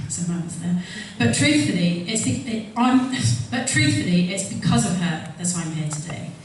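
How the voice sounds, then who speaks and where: A woman giving an earnest prepared campaign speech at a public hustings, listing her points in a measured rhythm. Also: medium pitch, expressive. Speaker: election candidate. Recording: echoey hall from far off with faint noise.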